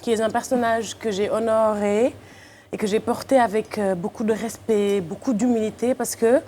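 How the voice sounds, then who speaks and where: A woman in her thirties chats as a talk show guest, French not her mother tongue. Her voice sits a little high and she laughs easily. Faint background noise hums behind her. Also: moderate pace. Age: 30s. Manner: lively, laughs often, animated when joking. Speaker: actress guest. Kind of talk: casual talk show chat.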